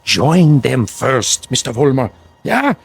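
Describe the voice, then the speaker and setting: Sinister husky dictator, wildly swooping delivery. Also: male voice, medium pitch, sing-song, takes it slowly, full character voice. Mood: instructive, impassioned. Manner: theatrical, insinuating, formal, commanding. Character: sinister dead dictator, coaxing a follower in demagoguery. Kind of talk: vintage-style radio drama acting.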